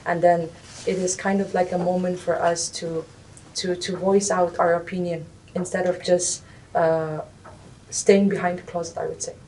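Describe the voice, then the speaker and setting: A woman's voice: little pitch movement, normal speed, darkish tone. A young woman from Nagaland, India, answering interview questions hesitantly, with many fillers and restarts. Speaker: interviewee, recent graduate. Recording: distant mic, faint rumble.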